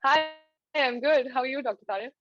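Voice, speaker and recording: A young woman from Pakistan answers interview questions in a steady mid-range voice, earnest and a little halting. The sound is close and dry but dull, like a lo-fi video call. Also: sits high, dry recording. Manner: earnest, with many hesitant fillers and restarts. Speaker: residency applicant. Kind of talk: mock job interview answers.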